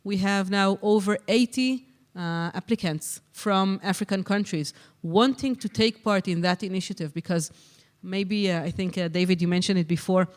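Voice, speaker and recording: A conference session convener speaks into a handheld microphone. The voice is smooth and clear at a mid pitch. The delivery is measured, with many ums and uhs between phrases. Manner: measured, with frequent um and uh. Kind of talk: conference moderation and answers. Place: conference hall.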